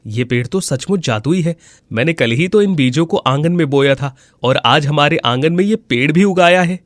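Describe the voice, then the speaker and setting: A man playing a simple, kind-hearted villager in a cartoon moral story, with an earnest, expressive delivery. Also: a little high, normal speed, no room sound, lightly acted. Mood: amazed, grateful. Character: honest, simple, kind-hearted village husband and his neighbours.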